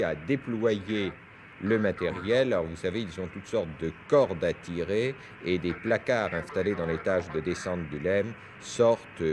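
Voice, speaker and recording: A man giving explanatory, didactic science commentary over footage on a 1970s television news broadcast. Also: deep voice. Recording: noisy 1971 French TV newsreel.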